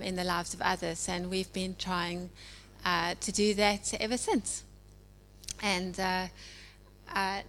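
A South African woman in her forties giving an informal church message, talking in a conversational way with many pauses and ums. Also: mid-range voice, fairly bright tone. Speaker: church speaker. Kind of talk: informal sermon. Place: church hall. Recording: hall reverb with faint hum.

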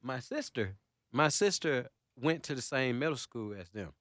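Soft-spoken, hesitant man. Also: mid-range voice, expressive, moderate pace, no room sound, clean studio sound. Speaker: interview guest, singer.

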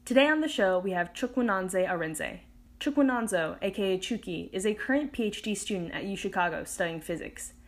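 A woman's voice: mid-range voice, animated, a little fast. A woman in her twenties, host of a podcast, reading a scripted intro and interviewing a guest in an upbeat way. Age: 20s.

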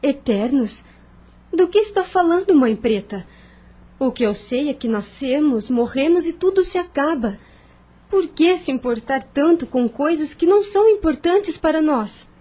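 An actress playing a sweet, meek, anxious young heiress in a radio drama, earnest and questioning, with a soft breathy voice. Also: female voice, sits high, expressive, a little slow. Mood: puzzled, questioning. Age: young adult.

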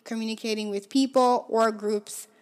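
A woman in her twenties, a native Haitian Creole speaker from Haiti, hosting a podcast and telling her life story in a reflective way, often letting her phrases trail off quietly. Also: fairly even intonation, moderate pace. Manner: reflective, often drops to a soft low trail at phrase ends. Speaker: podcast host. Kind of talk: personal storytelling.

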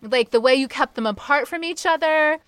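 A woman with a high-pitched voice hosts a casual fan podcast. She talks in an enthusiastic, gushing, conversational way, and the sound is dry with very little room echo. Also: normal speed, fairly bright tone. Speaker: podcast host interviewing a guest.

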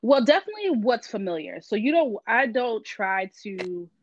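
A woman from Miami, Florida speaks as a podcast guest, relaxed and easygoing with plenty of ums. Her voice comes through a dull, muffled line, and the sound is dry, with no room around it. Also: fairly high pitch, moderate pace, no echo. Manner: relaxed, frequent ums and laughs. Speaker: guest, vegan business owner. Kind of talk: casual interview chat.

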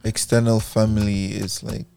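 A man's voice: on the low side, flat delivery, normal speed, creaky, over music. A man with a West African accent, a guest artist in a casual interview, explaining things patiently. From Sierra Leone and Nigeria.

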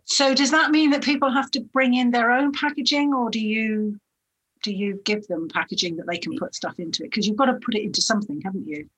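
A woman's voice: on the high side, a little fast, fairly bright tone, poor audio. Woman hosting, upbeat and chatty. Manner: enthusiastic, chatty questioning. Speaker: podcast host. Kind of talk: podcast interview.

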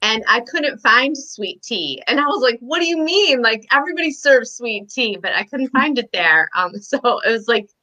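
A woman's voice: fairly high pitch, lively intonation, moderate pace, hardly any pauses, pushed and bright, rough recording. A woman from Michigan, a guest author chatting casually on a video call, talking with lots of "um"s and small laughs. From Michigan, USA. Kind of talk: casual podcast chat.

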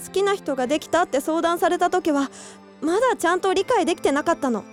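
A woman's voice: very high voice, fast talker, soft background music. An actress in a radio drama playing an earnest young woman who hides her love for a childhood friend. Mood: wistful. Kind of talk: Japanese radio drama acting.

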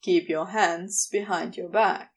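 Woman as male demon, audiobook character, low hard creaky voice, slow, seductive, playfully bossy. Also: fairly even intonation, far from the mic, exaggerated voice. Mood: playfully firm. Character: male demon, teasing, commanding, seductive lover.

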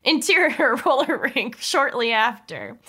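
A woman with a high, bright voice narrates a comedy script at a table read. Her reading of the stage directions is amused and animated, with big pitch movement. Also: measured pace.

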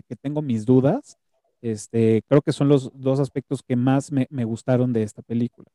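Man with a very dark, dry voice. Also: medium pitch, no echo. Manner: hesitant, restarts words often. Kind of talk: casual film review chat.

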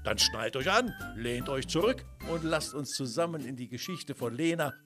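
A man narrating a children's audio story from a script in a playful, expressive, theatrical way. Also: medium pitch, moderate pace, over music.

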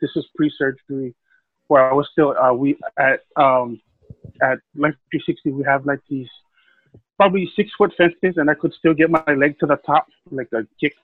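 A man's voice: a little high, fairly even intonation, moderate pace, no room sound. A man from Hawaii telling his life story as a podcast guest, rambling with many fillers and restarts. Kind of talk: casual storytelling. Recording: tinny phone-like call line, dry.

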